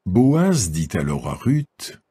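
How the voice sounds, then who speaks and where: A man's voice: low voice, huge pitch swings, slow pace, dark tone, slightly rough. A man narrating a dramatised scripture reading as a scripted storyteller.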